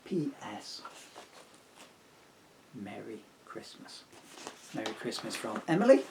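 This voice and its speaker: A man reading his own rhyming children's book aloud as an expressive storyteller. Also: lowish voice, slow pace, vocal fry, a little hiss or hum, poor audio.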